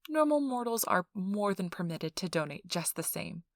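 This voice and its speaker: A woman playing a friendly, chatty intake interviewer in a soft ASMR role-play, explaining things politely and informally. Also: medium pitch, very animated, normal speed, no echo, studio quality. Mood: reassuring, chatty. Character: friendly, chatty female intake interviewer at a vampire blood donation center.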